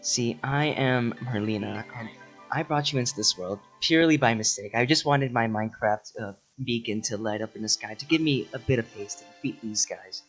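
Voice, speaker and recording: A flustered, impatient cartoon sorceress voiced by a man at a middling pitch. The speech is offhand, rambling and foul-mouthed, improvised as in a comedy fandub, with music behind. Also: brisk, a bit bright, lightly acted.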